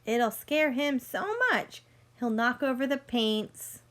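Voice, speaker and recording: High-voiced woman reading to children. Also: fairly bright tone. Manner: deliberate, explanatory, addressing young listeners with questions. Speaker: teacher reading a picture book aloud to children. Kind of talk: read-aloud storytelling with teaching asides.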